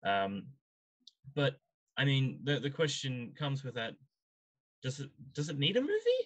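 A man's voice: mid-range voice, wildly swooping pitch, a little slow, a bit bright, dull audio. Man hosting an opinionated panel chat. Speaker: podcast host.

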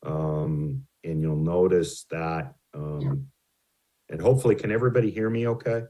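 A man's voice: a bass voice, normal speed, mellow. A man presenting financial slides to a city council, hesitant and full of ums and restarts, who says his voice tends to carry. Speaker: utility rate consultant presenting to a city.

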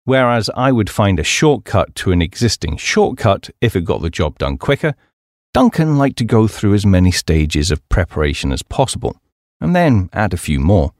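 Male audiobook narrator for a light memoir: lowish register, animated intonation, articulate and measured storytelling. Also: dry recording, studio quality.

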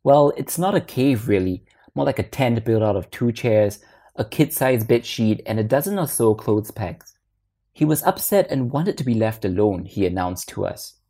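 Very low, mellow woman narrator. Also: animated, moderate pace. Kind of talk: literary short story reading.

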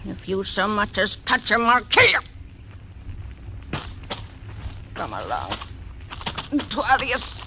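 An actress voicing a grim, hard frontier widow who gives cold, threatening orders, in 1940s radio acting style. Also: female voice, mid-range voice, wildly swooping pitch, slow pace, pauses often, pushed and bright, exaggerated voice. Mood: cold, commanding. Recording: noisy old radio broadcast.

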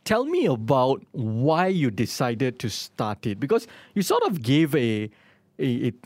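A man's voice: medium pitch, very animated, unhurried, a little mellow, no echo. A man hosting a conversational interview, encouraging his guest and recapping before he asks a question. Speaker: interviewer, host.

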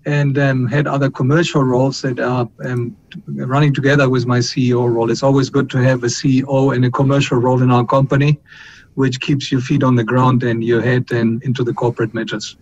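A middle-aged man from Colombia, a company chief executive answering interview questions in a measured way, with frequent fillers and restarts. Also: level tone, a little mellow. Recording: muffled line with faint rumble.

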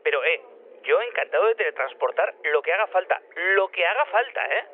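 A man's voice: sits high, animated, brisk, a little hiss or hum. A man with a Spain accent playing an eager, flustered technician in a radio drama, repeating himself and stumbling obligingly over a phone line. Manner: repeats words, stammering eagerly. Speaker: teleporter technician. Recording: tinny, narrowband phone line.

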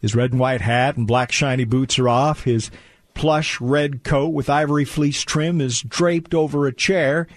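A man acting as the genial narrator of an old-time holiday radio play, with polished, deliberate storyteller phrasing. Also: medium pitch, steady tone, no echo, clean studio sound, natural voice. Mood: descriptive, storytelling.

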